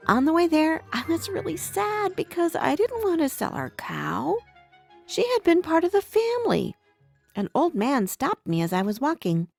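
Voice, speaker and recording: A woman narrating a scripted bedtime story for young children in an expressive way, telling it as the main character. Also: very high-pitched, normal speed, gravelly, faint music behind.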